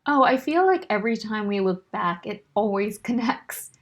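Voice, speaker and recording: A woman's voice: medium pitch, expressive, normal speed. A woman co-hosting a podcast, asking the guest friendly, conversational questions. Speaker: podcast co-host. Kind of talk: casual interview questions.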